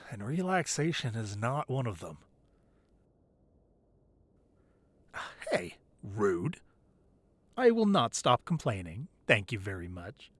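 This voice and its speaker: A man playing a tough gang leader boyfriend who is teasing and tender in an intimate ASMR roleplay, with playful grumbling and soft, hesitant asides. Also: on the high side, no room sound. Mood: mock grumbling. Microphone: close microphone, dry.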